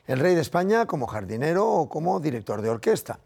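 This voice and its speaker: Gravelly Castilian male news presenter. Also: on the high side, sing-song, fairly quick, darkish tone. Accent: Castilian Spanish. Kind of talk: scripted news reading.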